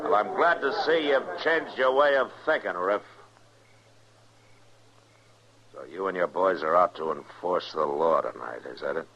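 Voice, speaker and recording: A man's voice: lively intonation, normal speed, fairly bright tone. A man with an American accent playing a stern, unafraid frontier marshal, terse and authoritative, in an old-time radio western. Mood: dry, firm. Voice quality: deep. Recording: noisy, muffled old radio recording.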